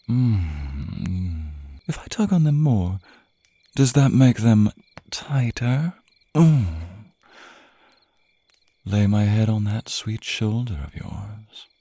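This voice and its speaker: A man's voice: sits low, dark tone, husky, no echo, heavily acted. A man voicing a suave, predatory vampire in an intimate ASMR roleplay, with a teasing, possessive, theatrical drawl and murmured asides.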